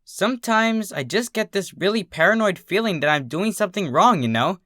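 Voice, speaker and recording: A brash young female pegasus pony from a cartoon tale, as a narrator puts on. The voice is bright, casual and excitable, with lively swings in pitch. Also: normal speed, dry recording, studio quality, natural voice. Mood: earnest.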